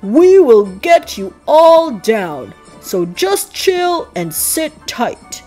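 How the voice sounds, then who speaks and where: Jolly old male storybook figure, read by a woman: loud, hearty, commanding, very animated, music behind. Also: sits high, very slow, pitched above the performer's own voice, exaggerated voice. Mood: hearty, reassuring. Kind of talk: children's storybook read-aloud.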